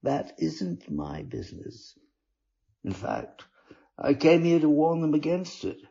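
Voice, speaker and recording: A man voicing a sly, falsely pious male sinner making a confession in a storybook reading, with a husky, breathy voice. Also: on the high side, very animated, takes it slowly, warm tone, pitched above the performer's own voice, exaggerated voice. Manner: wheedling, mock-devout. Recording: dull lo-fi recording.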